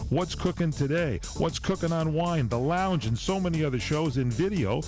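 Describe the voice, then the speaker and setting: A man announcing promotions for a talk radio network in a sales-pitch style. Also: normal speed. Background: music bed.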